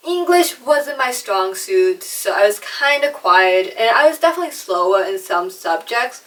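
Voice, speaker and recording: A woman's voice: mid-range voice, fairly bright tone, far from the mic, poor audio. Chatty young woman with a slight lisp. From China, raised in America. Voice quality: slight lisp, trouble with R sounds. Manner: chatty, self-deprecating, mimes words out. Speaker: vlogger. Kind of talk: personal storytelling vlog.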